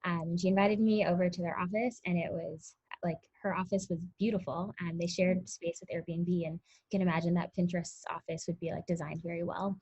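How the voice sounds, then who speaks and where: A woman's voice: lowish voice, fairly even intonation, a little fast, few pauses, a little mellow, slightly rough. A woman, answering interview questions in a chatty way full of ums and likes. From Connecticut, US. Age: 20s. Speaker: interview guest, tech startup founder. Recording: muffled lo-fi audio.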